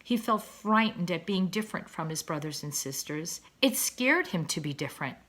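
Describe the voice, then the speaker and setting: A woman reading a storybook aloud to children as a teacher would, expressive and deliberate in her narration. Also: mid-range voice.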